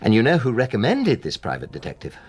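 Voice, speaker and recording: A man's voice: medium pitch, wildly swooping pitch, quick pace, breathy edge. A man acting in a British radio drama as a polite, anxious middle-class married publisher, with a British accent and a hesitant, earnest manner. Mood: bitter, resolved.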